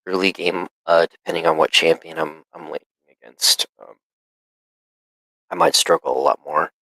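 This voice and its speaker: Deep gravelly man with a cough. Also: fairly even intonation, normal speed, pushed and bright, no echo, poor audio. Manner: hesitant, many fillers. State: sick, coughing. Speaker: student player. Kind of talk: casual call conversation.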